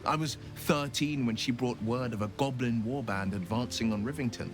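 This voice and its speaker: A man's voice: mid-range voice, moderate pace, music in the background, natural voice. A man playing an earnest, resolute young nobleman turned warlock adventurer in a dramatic video game, speaking in a formal, sincere way. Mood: solemn, proud.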